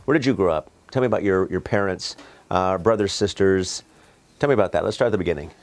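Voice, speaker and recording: A man's voice: low-pitched, fast talker. Friendly male interview host. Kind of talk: interviewer, upbeat opener to camera.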